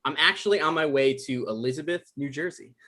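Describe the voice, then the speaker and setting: A man's voice: fairly high pitch, brisk, sharp tone. A man playing an anxious, apologetic man who gets defensive, stammering naturally as in a live drama table read. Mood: flustered, apologetic.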